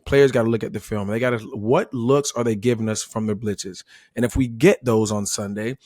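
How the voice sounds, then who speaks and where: A man's voice: on the low side, steady tone, normal speed, darkish tone, no room sound, studio quality. A man from Florida giving conversational football analysis like a coach, emphatic and checking in with rhetorical questions. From Florida, United States. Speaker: former player turned football analyst.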